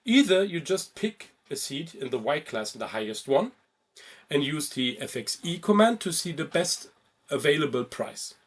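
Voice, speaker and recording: Higher-voiced man narrating a software tutorial. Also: sing-song, normal speed. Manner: informal step-by-step explaining with check-in questions. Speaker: travel ticketing instructor.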